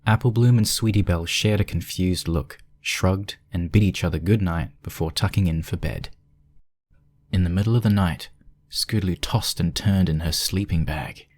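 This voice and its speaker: A man's voice: low voice, normal speed, a little mellow. A man reading scripted story narration as the narrator.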